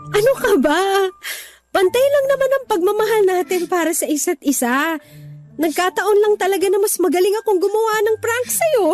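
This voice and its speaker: A woman's voice: very high-pitched, lively intonation, normal speed, darkish tone, soft background music. A woman voicing a young woman earnestly confessing her long-held love, in radio drama style. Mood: affectionate. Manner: tender, earnest.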